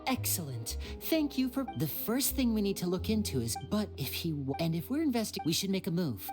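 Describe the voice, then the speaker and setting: A woman's voice: medium pitch, very animated, brisk, music in the background, natural voice. A woman voicing a suave, courteous young male stage magician in a video game, with theatrical, articulate delivery. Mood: polite.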